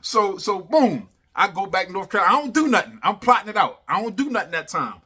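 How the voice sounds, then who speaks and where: A man casually telling a funny personal story in animated, slangy talk, repeating phrases for emphasis and constantly checking in with the listener. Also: high voice, fast, slightly rough. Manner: animated, slangy, repeats phrases for emphasis, often adds "you know what I'm saying". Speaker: storyteller, host of a story series.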